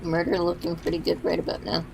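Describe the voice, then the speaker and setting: A woman's voice: fairly low pitch, level tone, fast talker, creaky, background noise. A woman chatting casually and playfully as she games on a stream, tossing out silly asides. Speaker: gaming stream participant. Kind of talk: casual chat while gaming. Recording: game music behind the voice.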